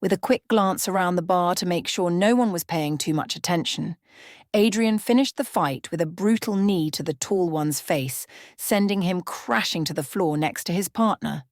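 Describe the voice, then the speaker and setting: A woman's voice: fairly low pitch, normal speed, no echo, studio quality. A woman reading a scripted bedtime story as a storyteller in an ASMR style.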